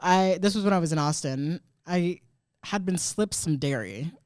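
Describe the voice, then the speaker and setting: A man's voice: on the high side, normal speed, a little mellow, clean tone, no echo, clean studio sound. A man co-hosting a podcast, chatting casually and rambling through stories with frequent laughs. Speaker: podcast co-host. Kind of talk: casual comedic chat.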